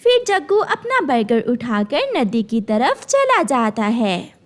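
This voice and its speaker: A woman's voice: a little high, huge pitch swings, slow pace. A woman reading scripted narration for a children's cartoon story.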